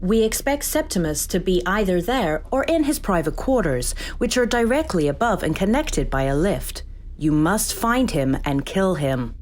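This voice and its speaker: An actress playing a calm, detached female game AI, giving clipped instructions and warnings in a video game parody drama. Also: on the low side, slight background noise, natural voice. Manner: flat, clipped announcements and instructions.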